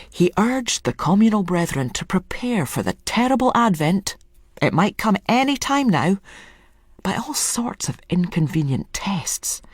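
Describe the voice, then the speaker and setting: A narrator reading a literary short story in an expressive, measured storytelling manner. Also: mid-range voice, husky, no room sound, clean studio sound.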